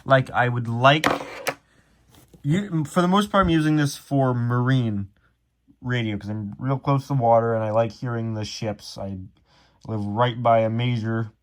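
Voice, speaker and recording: A man's voice: medium pitch, a little slow. Casual, self-deprecating man explaining things. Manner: rambling, self-deprecating, candid. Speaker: hobbyist video presenter.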